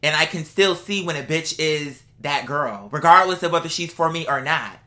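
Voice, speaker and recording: A woman's voice: deep voice, normal speed, sharp tone. A woman ranting casually to her viewers, blunt, sassy and exasperated, with emphatic, slangy, profanity-laced delivery. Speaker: vlog host. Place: indoors at home.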